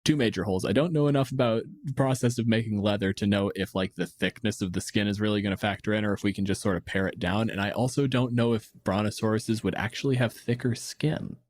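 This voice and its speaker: Dry, blunt man with a lowish voice. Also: fast talker, few pauses, darkish tone, no echo. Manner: dry, blunt, swears casually. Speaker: podcast host answering listener questions. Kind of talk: casual chat, riffing on tabletop game monsters.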